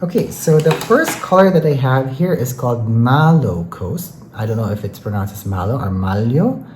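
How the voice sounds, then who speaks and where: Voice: medium pitch, expressive, normal speed. A beauty vlogger chatting enthusiastically and casually through a makeup product review, often dropping in filler phrases like "you know". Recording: roomy echo, faint background noise.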